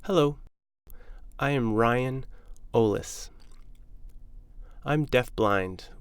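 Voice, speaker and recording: Male voice for personal testimony, crisp and closely recorded, dry. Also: mid-range voice, drawn-out words, dry recording.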